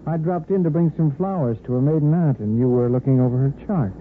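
Actor as a suave suitor, noisy old radio. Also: male voice, fairly high pitch, quick pace, narrow, tinny audio, natural voice. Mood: playful recognition. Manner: suave, flattering. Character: smooth, flirtatious, eager male suitor on vacation. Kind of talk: 1950s radio romantic serial acting. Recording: noisy, phone-thin 1950s radio recording.

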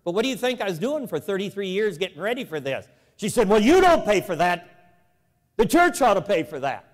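A man in his seventies giving a lively, folksy, emphatic storytelling speech to a church convention, walking the floor with a lapel mic. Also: high-pitched, fairly quick, big-room sound. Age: 70s. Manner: animated, folksy, emphatic repetition. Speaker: convention speaker. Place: large convention hall.

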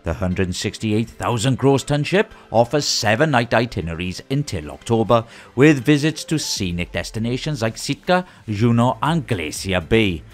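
A man presenting a scripted news roundup in a lively, sing-song delivery with rising greetings. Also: mid-range voice, normal speed, few pauses, faint music behind.

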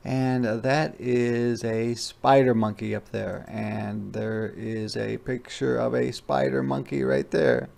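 A male teacher narrates a children's picture book with simple asides. His voice has a gravelly creak and an ordinary pitch, and he speaks slowly in a conversational way, often repeating a phrase. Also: steady tone, darkish tone. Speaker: read-aloud teacher.

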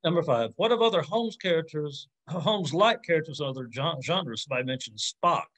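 A man's voice: a little high, animated, fairly quick, a bit bright. A man in his forties speaking as an academic in an informal Q&A, thinking aloud in a hesitant, reflective way and rephrasing as he goes. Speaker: academic answering audience questions. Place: indoors on a video call with virtual background.

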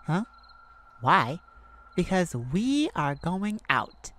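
A woman's voice: on the low side, big pitch swings, extremely slow, fairly bright tone, exaggerated voice. A woman playing a jovial, affectionate girlfriend in an intimate, casual ASMR roleplay, with a playful, chatty manner. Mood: playful, coaxing. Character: jovial, affectionate girlfriend treating partner to a night out. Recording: clear background ambient noise. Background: ambient sound effects.

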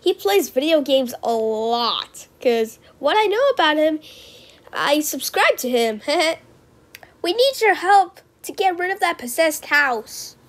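A woman performing homemade character lines as an amateur voice actor, theatrical, with gasps and drawn-out exclamations. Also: high voice, very animated. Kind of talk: homemade character voice lines, animated movie remake.

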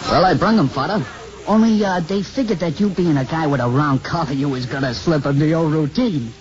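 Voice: medium pitch, brisk, a crowd in the background, lightly acted. An actor playing a bossy, cocky tough boy in a 1950s radio drama. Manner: streetwise slangy tough talk. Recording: noisy muffled 1950s radio broadcast.

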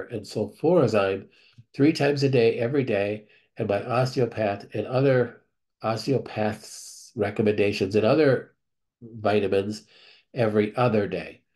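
Low-voiced man reading questions and answering. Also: a little mellow. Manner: measured, thinking aloud with fillers and restarts. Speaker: clinic doctor answering member questions. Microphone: screen-share video call.

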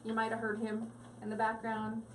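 A woman with a fairly high voice chats and giggles her way through a live craft demo at her home table. She is picked up from a little way off by the device mic, with a faint hum of room noise underneath. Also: monotone, moderate pace, far from the mic, poor audio. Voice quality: giggly, rambling, talks to viewers. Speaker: craft live-stream host. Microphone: device mic set back from the table.

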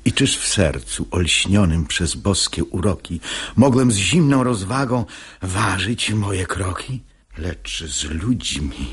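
An actor playing a sanctimonious, unctuous hypocrite who woos with lust, in a husky, breathy voice, in a verse radio drama. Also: male voice, very deep voice, full character voice. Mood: persuasive, seductive. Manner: unctuous, slow declamation. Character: sanctimonious hypocrite man, unctuous, lustfully wooing a married woman.

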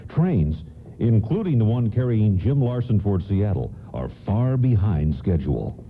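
A man playing a formal radio announcer, giving measured scripted narration. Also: on the low side, big pitch swings, a little hiss or hum. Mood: serious. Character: solemn storytelling radio announcer. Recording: narrow-band radio, music underneath.

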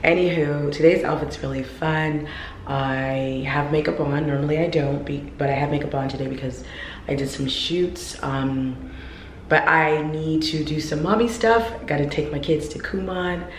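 Woman in her 40s, low husky-from-overuse voice, chatty fashion vlogger, roomy echo. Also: steady tone, moderate pace, a little hiss or hum. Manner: chatty, loose, many ums. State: losing her voice. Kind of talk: casual outfit vlog. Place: hallway of a home. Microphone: camera held in mirror selfie. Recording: echoey hallway, faint ambience.

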